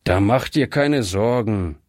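A man's voice: lowish voice, animated, slow pace, dry recording, clean studio sound. A man reading aloud, voicing a bitter, one-legged boy thief who grumbles sullenly.

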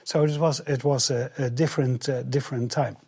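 Husky man, halting. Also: mid-range voice, fairly even intonation, a little mellow. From Netherlands. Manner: halting, repeats words, many fillers. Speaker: guest, travel coordinator. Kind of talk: interview testimony in English, paused for interpreting.

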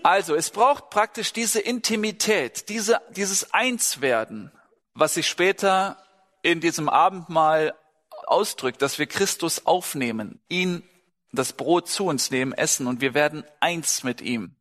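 A man's voice: fairly high pitch, lively intonation, unhurried, fairly bright tone. A man preaching a sermon in a conversational way, asking the congregation questions and telling personal stories. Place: church hall. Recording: long hall reverb.

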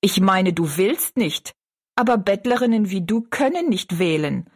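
Lady doing a mean stepmother in an audiobook, cutting and contemptuous, bit husky. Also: mid-range voice, animated, a little slow. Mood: mocking, scornful. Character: cold, scornful stepmother, harsh mistress of the house.

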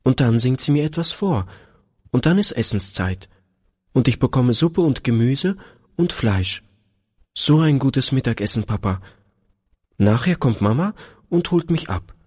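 A man voicing an eager, sweet little girl who pleads with her father in a bright sing-song manner. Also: medium pitch, animated, measured pace, pauses often, husky, pitched above the performer's own voice. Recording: long hall reverb.

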